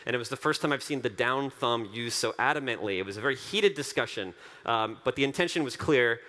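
A man's voice: mid-range voice, lively intonation, fairly quick, bright, forceful tone. Keynote speaker: man in his thirties, earnest and upbeat, mid-range voice, headset mic on a big stage. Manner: earnest, enthusiastic, repeats phrases for emphasis. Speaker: company co-founder giving a keynote. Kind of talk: conference keynote speech. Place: large dark conference stage.

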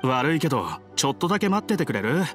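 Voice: medium pitch, very animated, fast talker, music in the background, lightly acted. A performer playing a busy, polite young apprentice blacksmith boy in an anime game, speaking casually. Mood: apologetic. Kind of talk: Japanese anime game voice acting.

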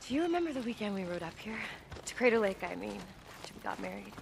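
An actress playing a brisk, guarded, determined camp scientist in a video game, earnest in manner. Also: female voice, medium pitch, big pitch swings, gravelly, background noise.

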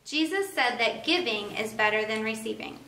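A woman in her thirties hosting a family devotional, reading clearly and steadily in a living room. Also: a little high, animated, moderate pace, pushed and bright. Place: living room by a Christmas tree, indoors. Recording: roomy, distant camera sound.

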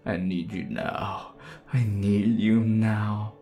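Male actor as undead demon husband for ASMR drama: low-voiced, menacing, obsessively devoted, theatrical, over soft music. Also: lively intonation, takes it slowly. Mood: pleading, yearning. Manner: theatrical, swinging from tender murmurs to desperate demands. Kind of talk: ASMR roleplay audio acting.

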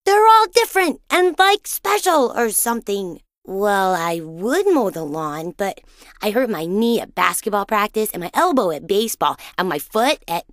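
A woman voicing a boisterous, imaginative and boastful young boy in a cartoon-style video game, with an excitable, dramatic, childlike delivery. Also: medium pitch, moderate pace, dry recording, studio quality. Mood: curious, indignant. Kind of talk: animated video game voice acting.

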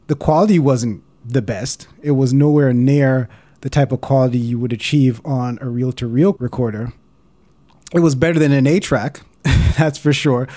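Laid back guy doing an explainer voiceover, soft dark tone, chatty and informal. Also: mid-range voice. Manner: informal, conversational. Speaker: host of a video series on analog recorders. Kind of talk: casual explainer voice-over.

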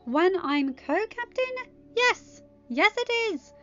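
Woman acting a high, exasperated feisty teenage girl. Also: sing-song, slow, sharp tone, exaggerated voice. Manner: exasperated, emphatic. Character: feisty, sharp-tempered teenage girl, sarcastic leader. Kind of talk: amateur story reading with dialogue. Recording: dull lo-fi audio, music behind.

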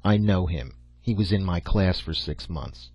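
Man doing an earnest young student guy, polite but prickly, husky, dull close dry audio. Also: lowish voice, animated, moderate pace, a little mellow, dry recording. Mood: cold, resentful. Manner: hesitant, polite, careful. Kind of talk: audiobook character acting.

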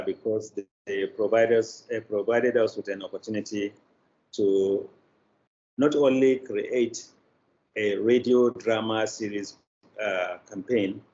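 A man's voice: on the low side, darkish tone. An older man with a Nigerian accent giving formal, hesitant interview answers as a company director on a video call. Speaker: managing director, interview guest. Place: indoors in an office room on a video call. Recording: dull, faintly noisy video call.

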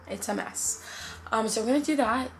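A woman's voice: fairly high pitch, normal speed, a little hiss or hum, rough recording. A woman in her twenties chatting casually to camera as a vlogger, slangy and full of asides, recorded indoors at home. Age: 20s. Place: indoors in a furnished home room.

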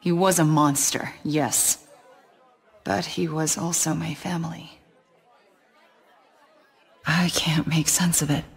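Haunted game assassin, subdued and husky, dry wit. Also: female voice, sits low, fairly even intonation, slow pace, pauses often, a faint crowd, full character voice. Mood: troubled. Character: brooding green-skinned female warrior assassin. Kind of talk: video game acting.

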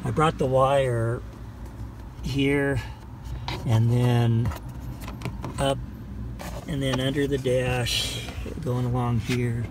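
A man's voice: medium pitch, little pitch movement, noisy background. A middle-aged man casually walking through a car radio install, talking plainly with fillers, filmed on a phone held close in and beside a small car. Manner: plain, unhurried, talks through each step with fillers. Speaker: vlogger showing a car install. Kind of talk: casual how-to walkthrough. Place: in a small car and outdoors beside it, tree-lined lot. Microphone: phone camera held close.

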